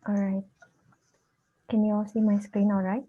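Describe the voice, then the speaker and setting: A young woman from Indonesia, an architecture student, giving an academic presentation over a video call in a soft, hesitant way with many fillers. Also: medium pitch, flat delivery, moderate pace. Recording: tinny phone-like line.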